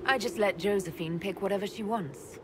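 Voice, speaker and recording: An actress playing a composed, level-headed female leader in a fantasy video game, calm and plain-spoken. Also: medium pitch, brisk, background noise, natural voice. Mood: calm, questioning. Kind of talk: video game dialogue acting.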